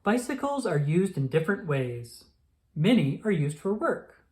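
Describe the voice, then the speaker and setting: A narrator reading a children's picture book aloud in a clearly enunciated, instructional storytelling manner. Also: medium pitch, sing-song, a little slow, a little mellow.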